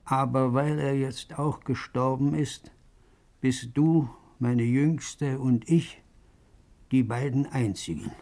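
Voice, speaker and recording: A man's voice: medium pitch, slow pace, dark tone. An actor playing a lonely old father who reads out his pleading, longing letter to his daughter in a 1950s German radio drama. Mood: tender longing. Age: older.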